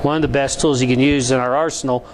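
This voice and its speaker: A man's voice: mid-range voice, fairly even intonation, quick pace. A man giving an informal promotional explainer as a sales presenter, in an instructional, salesman-like manner. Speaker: business phone tools sales presenter. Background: ambient vehicle noise in a motorcycle service department.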